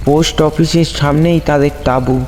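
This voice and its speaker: A man with a fairly high voice narrates a scripted horror tale. His delivery is that of a storyteller, and long reverb trails each phrase. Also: steady tone, fairly quick, a little mellow, a little hiss or hum.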